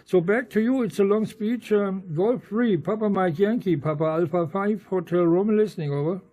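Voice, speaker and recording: A non-native English-speaking man, an amateur radio operator, chatting in a halting, hesitant way. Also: sits high, warm tone. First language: not English. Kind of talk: casual radio chat. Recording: muffled, narrow-band radio audio with hiss.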